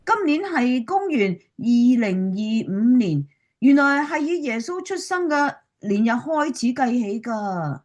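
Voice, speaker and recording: A woman's voice: fairly high pitch, very animated, takes it slowly, lo-fi sound, full character voice. A woman playing a chirpy, curious schoolchild in a Cantonese radio drama. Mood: eager, cheerful. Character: eager curious schoolchild visiting grandparents.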